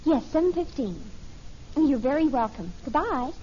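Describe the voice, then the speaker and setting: High-voiced, earnest teenage girl. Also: animated, normal speed, warm tone. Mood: eager, polite. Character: earnest, eager teenage daughter organizing things. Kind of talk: 1950s radio sitcom acting. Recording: muffled, noisy old radio recording.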